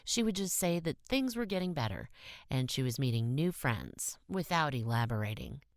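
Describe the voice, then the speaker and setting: A woman's voice: rumbling low, sing-song, normal speed, no echo, studio quality. A woman reading a short story as an audiobook narrator in a scripted style.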